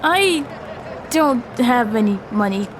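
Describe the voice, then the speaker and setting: A woman's voice: on the high side, expressive, slow pace, noisy background. A woman playing an earnest, kind, jittery middle school boy in an audio drama, with hesitant, slangy phrasing.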